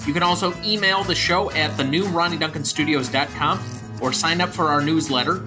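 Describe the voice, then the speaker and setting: A young man hosting a podcast, talking about films in earnest, explanatory chat and reading out a brisk closing script. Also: on the high side, over music. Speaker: podcast host.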